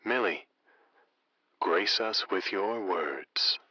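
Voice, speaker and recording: A suave, flowery male late-night radio host for a comedy audio drama. His voice is low with a bright, punchy edge, recorded close and dry. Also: lively intonation, extremely slow, no room sound, muffled recording, full character voice. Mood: charming, playful. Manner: theatrical, florid, suave.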